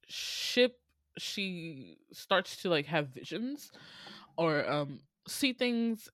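An American woman co-hosting a casual movie recap, telling the story in an animated, slangy, profane way and laughing often. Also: lowish voice, dry recording, studio quality. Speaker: podcast co-host recapping a movie. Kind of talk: casual comedic storytelling chat.

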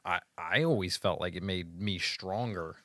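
A man's voice: low-pitched, normal speed, bright, forceful tone, no echo. A man hosting a casual interview, curious and asking one question after another. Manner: curious, asks chains of questions. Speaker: podcast host.

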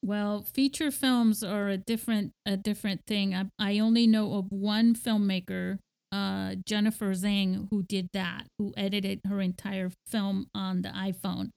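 A woman's voice: medium pitch, fairly even intonation, unhurried, creaky, darkish tone, clear, resonant tone. A woman interviewing a filmmaker, asking friendly, chatty questions. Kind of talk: casual interview.

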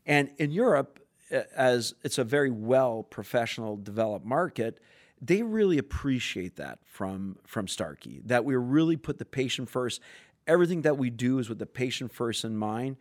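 A man's voice: medium pitch, animated, normal speed. A man guesting on a podcast as a sales executive, talking about his company in an earnest, enthusiastic way. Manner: enthusiastic, earnest corporate talk. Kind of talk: podcast interview answers.

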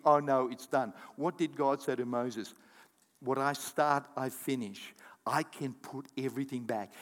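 A man preaching a sermon as pastor, calling out to the congregation and breaking into quick asides and acted-out quotes. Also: mid-range voice. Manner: animated preaching with audience call-outs and asides. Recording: long hall reverb.

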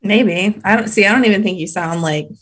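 A woman's voice: medium pitch, a little fast, fairly bright tone, husky. A young woman co-hosting a podcast in casual chat, swearing casually and laughing often. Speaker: podcast co-host.

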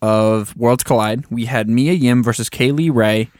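A man's voice: medium pitch, a little slow, darkish tone, no echo, clean studio sound. A man hosting a podcast, leading a casual chat in an upbeat way with frequent ums. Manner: upbeat, leads the show, lots of ums. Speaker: podcast host.

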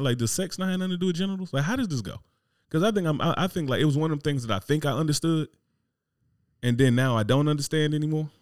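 Man, casual podcast chat, quick delivery, slangy, lots of rhetorical questions; dry close recording. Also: medium pitch, no room sound.

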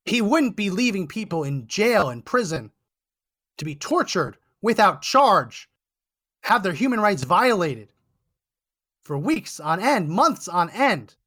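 Hoarse, high-voiced indignant male host. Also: very animated, takes it slowly, bright, forceful tone. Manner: emphatic, indignant. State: hoarse, losing his voice. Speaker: podcast host. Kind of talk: political commentary monologue. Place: Texas.

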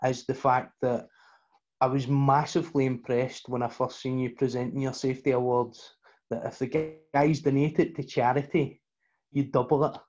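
A man's voice: medium pitch, a little mellow, highs cut off. A man hosting a podcast, praising his guest and asking about his background. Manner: encouraging, short affirmations. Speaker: podcast host. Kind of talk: casual podcast interview.

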